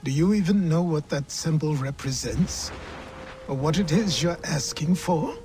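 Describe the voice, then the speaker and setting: An actor playing a gruff, weary old mentor, a cynical and sardonic retired assassin in a video game cutscene. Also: male voice, fairly high pitch, lively intonation, measured pace, warm tone, soft background music. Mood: lecturing, sardonic. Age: older.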